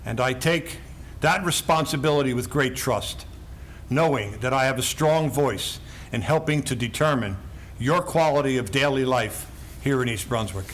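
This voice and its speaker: A man's voice: medium pitch, moderate pace, a little hiss or hum. An older man from New giving a formal, emphatic opening statement as a council candidate. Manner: formal, emphatic, list-like delivery.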